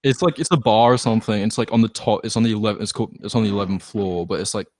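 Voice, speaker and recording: A man in casual conversation, talking in a halting, informal, sweary way. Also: on the low side, a little mellow, dry recording. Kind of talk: casual chat.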